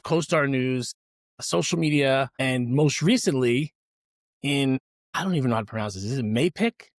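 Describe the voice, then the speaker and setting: A man's voice: medium pitch, no echo, clean studio sound. A man hosting a business podcast, chatting upbeat and explaining things straight to his listeners. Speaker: podcast host. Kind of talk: casual interview and ad read.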